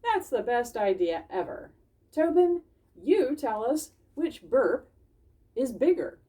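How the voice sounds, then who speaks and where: A woman voicing a boastful, excitable male sugar glider from a children's storybook in an emphatic way. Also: fairly high pitch, takes it slowly, far from the mic, voice pushed up. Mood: boastful, excited.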